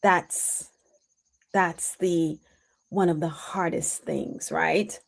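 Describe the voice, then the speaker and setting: A woman giving an intuitive spiritual reading on a live stream, answering her chat in a reflective, halting way with many pauses and "um"s. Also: lowish voice, steady tone, vocal fry. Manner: slow, reflective, halting with frequent "um"s.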